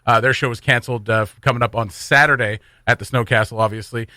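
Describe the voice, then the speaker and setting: Bright-voiced male radio host, dry studio sound. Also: mid-range voice, steady tone, fast talker, no echo. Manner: informal, joking, rambling. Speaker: morning radio show host. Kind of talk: casual radio banter and announcements.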